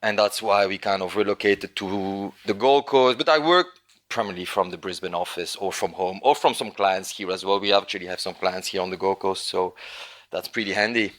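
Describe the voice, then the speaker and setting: A man's voice: low voice, a little fast, fairly bright tone. A man from Congo with a French accent, a consultant guest talking casually in an interview, loose and chatty with lots of restarts and tag questions. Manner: loose and chatty, many restarts and "right?" tags.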